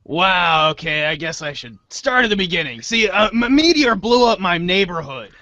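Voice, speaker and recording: Man playing an excitable, high-pitched dorky teenage boy. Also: moderate pace, dry recording, dull audio, exaggerated voice. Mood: flustered, earnest. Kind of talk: read-along storybook reader's theater acting.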